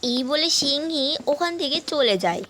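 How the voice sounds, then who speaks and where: A woman's voice: high-pitched, expressive, normal speed, fairly bright tone. A woman narrator telling a cartoon animal fable in a scripted storytelling style. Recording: noticeable background noise.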